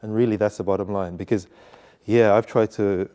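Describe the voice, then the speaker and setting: Low, mellow man thinking aloud. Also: fairly even intonation, normal speed. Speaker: panel guest. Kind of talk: informal religious discussion.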